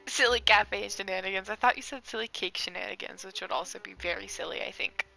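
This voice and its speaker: A woman's voice: mid-range voice, quick pace, gravelly, bright, forceful tone, rough recording. A woman giving casual, reactive gameplay commentary, effusive and silly, chatting to the game's characters. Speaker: game streamer. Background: faint game music.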